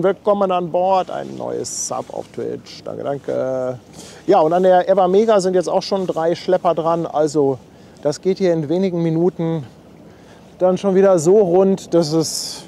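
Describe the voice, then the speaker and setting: Male livestream host, chatty and relaxed, reads chat aloud, soft mellow tone, faint harbor noise behind. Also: a little high, creaky. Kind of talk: casual live commentary. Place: outdoors at a harbor.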